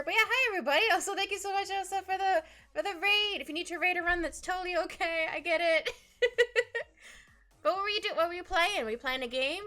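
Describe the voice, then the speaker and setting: A woman's voice: very high voice, pushed and bright. A woman chatting casually on an art livestream, bubbly and giggly, rambling through asides with a slightly stuffy nose. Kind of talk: casual livestream chat while drawing. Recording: music behind the voice. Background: background music.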